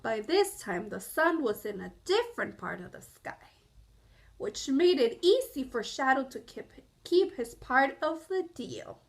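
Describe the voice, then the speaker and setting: Slow, high-pitched woman reading a storybook. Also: very animated. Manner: explanatory, asks listeners questions. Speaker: children's storybook reader. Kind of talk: read-aloud storytelling for young children.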